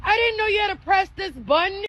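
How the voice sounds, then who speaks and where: A woman's voice: very high voice, animated, brisk, a bit bright, slight background noise. A woman casually telling what happened to her, flustered and incredulous. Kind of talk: casual personal storytelling.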